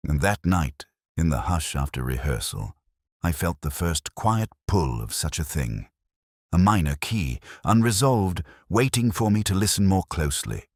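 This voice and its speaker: Male actor as brooding older detective narrator for audio drama; very deep, contemplative, recorded dry and close. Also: very animated, no echo, heavily acted. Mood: reflective, melancholy. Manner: measured, literary, contemplative. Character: older male Oxford police inspector, brooding, reflective, melancholy. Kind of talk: scripted first-person detective narration.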